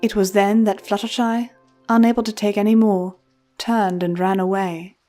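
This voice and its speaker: A woman's voice: medium pitch, steady tone, normal speed, dark tone. A woman reading scripted storybook narration as the storyteller, with music playing behind her. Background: background music.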